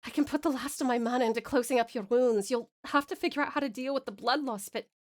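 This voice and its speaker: A woman's voice: high voice, takes it slowly, darkish tone, dry recording, clean studio sound. A woman voicing a wounded female fighter in a storybook tale, earnest and pleading with the lover she is devoted to.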